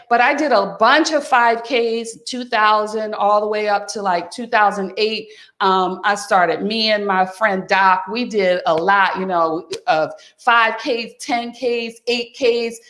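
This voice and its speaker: A woman in her fifties giving a motivational wellness talk, speaking warmly and directly to the listener as "my friend" and "honey.". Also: on the high side. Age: 50s. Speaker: wellness coach. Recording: echoey room.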